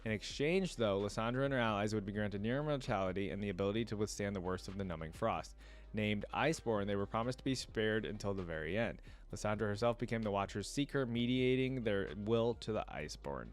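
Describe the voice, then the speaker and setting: A man's voice: fairly low pitch, brisk. Casual man reacting and thinking aloud. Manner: conversational, thinking aloud, often musing to viewers. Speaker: reaction video host. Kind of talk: casual reaction commentary, reading wiki text aloud.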